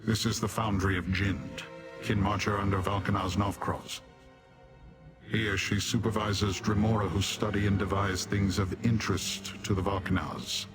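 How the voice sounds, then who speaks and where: An actor playing a cold, haughty demon warrior in a video game, speaking formally with measured, deadpan condescension. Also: male voice, fairly low pitch, music in the background, natural voice. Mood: cold, businesslike. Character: haughty male Dremora demon warrior commander, coldly disdainful of mortals. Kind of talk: video game character dialogue.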